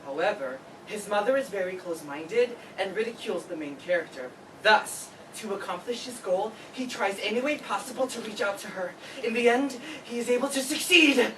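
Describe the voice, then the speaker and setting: A woman's voice: medium pitch, lively intonation, normal speed, few pauses, distant mic, close to an everyday voice. In an echoey, noisy room, a woman plays a flamboyant, brainy student in a stage reading. The delivery is eager and self-important, with an arrogant edge. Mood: falsely modest. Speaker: actor in a staged play reading. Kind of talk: live theatre play reading. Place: read before a live audience, far camera.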